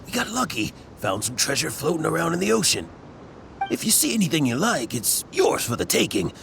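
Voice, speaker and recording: Casting: scrappy male bandit foreman, creaky voice, wide pitch swings, wheedling game dialogue. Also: huge pitch swings, fairly quick, noisy background, exaggerated voice. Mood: flustered, flattering. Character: shifty, pleading male treasure-hoarder foreman. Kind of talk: video game voice acting. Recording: background music under the voice.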